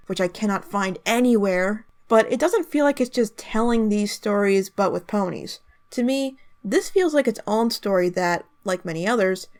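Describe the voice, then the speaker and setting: A woman narrating a review in the style of an online video commentary. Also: mid-range voice.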